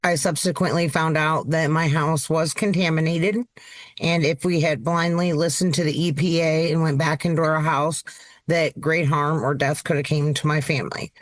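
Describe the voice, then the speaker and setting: A man's voice: a little high, fairly even intonation, normal speed, no echo. A man from Ohio with an American accent, giving personal testimony as a resident turned advocate. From Ohio, United States. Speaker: affected resident and advocate, interview guest.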